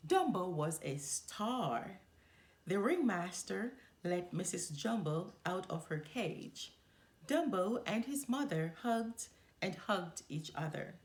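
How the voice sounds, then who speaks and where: A woman reading a children's story aloud as a storyteller, with expressive, deliberate delivery. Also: lowish voice. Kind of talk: expressive read-aloud narration.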